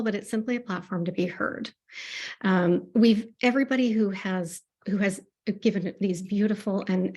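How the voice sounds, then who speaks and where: A woman with a soft voice answering as a panelist, hesitant and repeating her words. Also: medium pitch.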